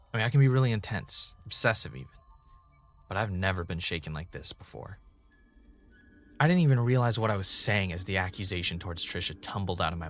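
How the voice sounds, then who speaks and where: An actor playing an intense, brooding podcast host in an audio drama, defensive and unnerved. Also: male voice, fairly low pitch, fairly bright tone. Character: intense, obsessive, unnerved male podcast host, former game-show contestant. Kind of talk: audio drama mixing confessional monologue and conversation.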